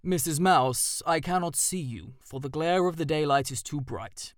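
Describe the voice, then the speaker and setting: A narrator voicing a stately old male owl who speaks in a formal, measured and aloof way. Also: medium pitch, no echo, clean studio sound, close to an everyday voice. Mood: grave, formal.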